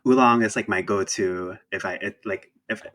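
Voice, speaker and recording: Hesitant young man, low voice. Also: normal speed, a bit bright. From Thailand. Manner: hesitant, many fillers and restarts, laughs easily. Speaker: indie game developer, guest. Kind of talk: casual interview chat.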